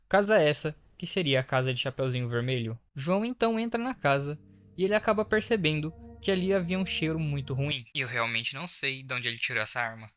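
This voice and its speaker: A Brazilian man narrating a horror story recap as a video host, explaining it with informal asides. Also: a little high. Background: faint to clear background music.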